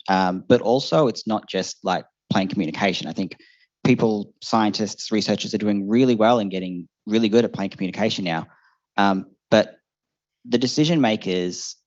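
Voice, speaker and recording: Young guy talking on a panel, lowish voice, lots of ums and likes, kinda muffled sound. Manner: thoughtful, many fillers and restarts. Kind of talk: panel discussion answer. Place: seminar hall before an audience. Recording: muffled lo-fi event recording.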